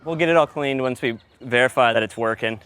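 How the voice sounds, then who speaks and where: A man's voice: medium pitch, brisk, fairly bright tone. A man talking casually and teasingly as he explains the work he is doing on location. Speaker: sound engineer and host. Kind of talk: casual chat while working. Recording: clear background noise, on-location audio.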